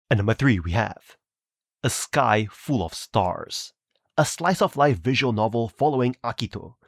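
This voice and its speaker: Man narrating a scripted review, lively intonation. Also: medium pitch, dry recording. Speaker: video host and reviewer.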